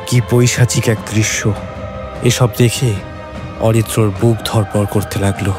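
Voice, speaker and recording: Low-pitched Bengali male horror narrator. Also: little pitch movement, unhurried, over music. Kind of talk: scripted horror story narration.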